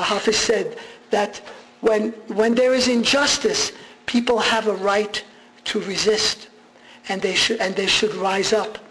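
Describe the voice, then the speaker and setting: A man's voice: high voice, a bit bright, low-quality recording. A man whose first language is Armenian, giving a conversational public lecture with frequent restarts and fillers.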